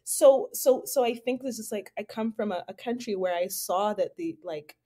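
A woman from Zambia, a playwright and actor, answering interview questions in a thoughtful, relaxed way and laughing easily. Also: mid-range voice, normal speed. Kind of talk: casual interview chat.

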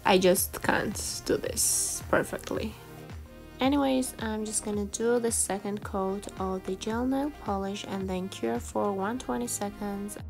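A woman's voice: mid-range voice, vocal fry. A woman giving a casual, chatty tutorial voice-over in a self-deprecating way, like a beauty vlogger. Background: background music.

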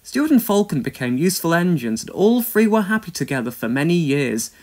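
A man narrates a children's storybook in an expressive read-aloud manner. His voice sits slightly high and moves widely in pitch as he tells the tale. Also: a little fast.

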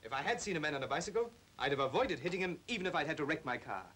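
A suave upper-class English schemer, hissy. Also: male voice, a little high, expressive, very fast, fairly bright tone. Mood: persuasive. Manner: suave, persuasive. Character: smooth, manipulative well-off English captain, fond of drink. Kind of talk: 1940s British film drama acting. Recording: hissy old film soundtrack.

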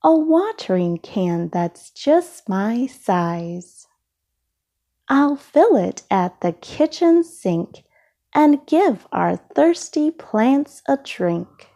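A mellow, slightly husky woman reads a picture book aloud for small children. She goes very slowly, with lively rises and falls, phrasing each bit deliberately and expressively. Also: a little high. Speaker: storybook reader. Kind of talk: children's storybook read-aloud.

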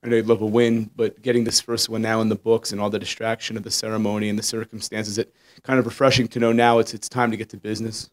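A man's voice: fairly low pitch, level tone, fast talker. A man working as a sports reporter, putting questions to a coach at a press conference.

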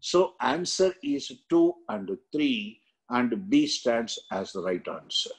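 An older man with an Indian accent lecturing on exam questions in a halting, repetitive way with frequent tags. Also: mid-range voice, big pitch swings, breathy edge. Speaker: exam coaching teacher. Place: indoor room, seated.

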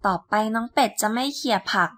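A woman playing a caring, gently firm mother duck in a children's storybook, coaxing and motherly in manner. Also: on the high side, fast talker, no echo, studio quality. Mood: praising.

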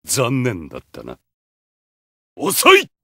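A man's voice: mid-range voice, huge pitch swings, a little slow, gravelly, fairly bright tone, slightly rough, no room sound, studio quality, full character voice. A man voicing a haughty, ruthless video game supervillain with a god complex, contemptuous, menacing and commanding. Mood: aggressive, shouting. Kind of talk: Japanese fighting game voice acting.

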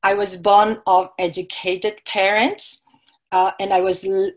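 A woman from Myanmar, giving an online academic keynote lecture over slides in a measured way, sometimes correcting herself mid-word. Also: mid-range voice, level tone. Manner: measured, with occasional self-corrections. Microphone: video call.

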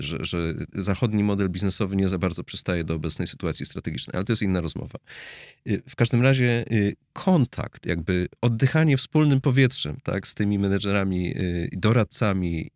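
A man's voice: on the low side, no echo, studio quality. A middle-aged man explaining things as an analyst guest in an interview, with many hesitations and restarts. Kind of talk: conversational expert interview. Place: studio with blue backdrop. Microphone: studio mic on boom arm.

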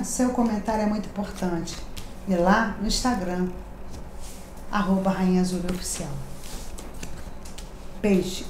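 Brazilian lady doing a tarot reading to camera, chatty and warm-hearted, sounds like a bare echoey room. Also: mid-range voice. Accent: Rio de Janeiro Portuguese. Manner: chatty, affectionate, confiding, emphatic repetitions. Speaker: tarot reader and channel host. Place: decorated home room, indoors. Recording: echoey room, faint background hiss.

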